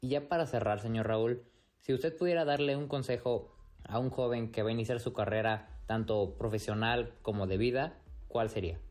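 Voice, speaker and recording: A man's voice: medium pitch, moderate pace, a little mellow, soft background music. A man hosting an interview, polite and formal, agreeing with his guest. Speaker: podcast host.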